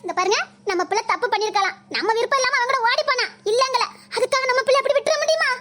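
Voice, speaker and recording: A woman's voice: very high-pitched, very fast. A woman with a playing a scheming middle-aged village stepmother who wails, pleads and cries in melodramatic bursts. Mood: pleading. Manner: loud wailing, pleading, crying melodrama. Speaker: skit actor. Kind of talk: melodramatic village comedy skit.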